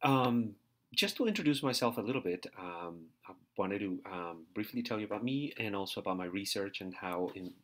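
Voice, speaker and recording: A man's voice: fairly low pitch, expressive, a little fast, fairly bright tone. A man, giving a recorded university lecture as a teaching assistant, hesitant with many fillers and repeated words. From Peru. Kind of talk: recorded lecture over slides.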